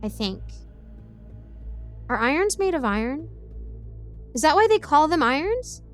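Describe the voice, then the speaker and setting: A chatty ten-year-old girl, played by a woman narrates her story in the first person. She is excitable and defiant, given to rambling asides, in a high voice over light music. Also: measured pace. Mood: rambling, bemused.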